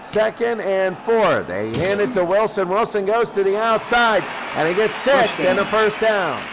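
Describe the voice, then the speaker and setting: A man's voice: lively intonation, moderate pace, crowd noise. A man calling football play-by-play as a radio announcer, in short clipped phrases that he often repeats. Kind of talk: American football radio commentary. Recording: noisy recording with vehicle-like rumble.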